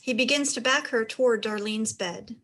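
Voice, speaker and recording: Higher-pitched woman narrating stage directions. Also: moderate pace, a bit bright.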